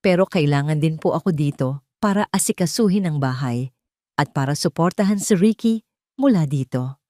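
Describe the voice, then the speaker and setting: A woman narrator acts a betrayed wife in an audio drama. She is restrained and guarded, polite yet quietly resolute, in a dry recording. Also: deep voice, lively intonation, normal speed, no echo.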